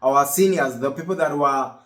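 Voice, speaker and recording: A man's voice: medium pitch, normal speed, a bit bright, echoey room. A young man from Kenya with a Kenyan accent and Kikuyu as his first language, giving an encouraging talk to camera in a rambling way that repeats and restarts phrases. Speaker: vlogger. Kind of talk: casual motivational talk to camera.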